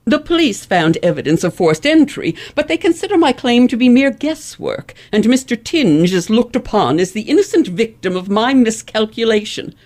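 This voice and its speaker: Radio play role: vain, haughty elocution madam, acted by a woman, very animated, formal delivery. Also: fairly high pitch, normal speed, few pauses. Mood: aggrieved. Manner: pompous, formal, emphatic enunciation. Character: proud, vain, imperious elocutionist lady, easily outraged. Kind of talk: old-fashioned radio play acting.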